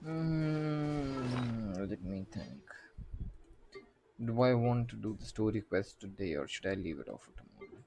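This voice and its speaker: A man streaming a video game, murmuring casual commentary as he haltingly thinks aloud. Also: lowish voice, animated, a little mellow, a little hiss or hum. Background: faint game music.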